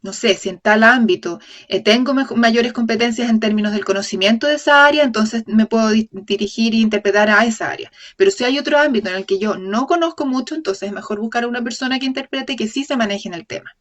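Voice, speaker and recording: A woman voicing a lecture for an interpreter, speaking in a measured way, often correcting herself mid-phrase and checking in with the audience. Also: fairly high pitch. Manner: measured, with frequent self-corrections and tag questions to the audience. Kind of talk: voiced-over lecture in an open talk series.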